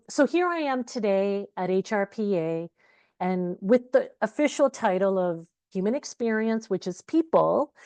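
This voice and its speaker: A middle-aged woman from Uganda who grew up in Canada, giving an energetic, conversational keynote talk over a video call. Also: mid-range voice, no room sound. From Uganda, raised in Canada. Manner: energetic, conversational, many fillers. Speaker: conference keynote speaker. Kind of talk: virtual conference talk.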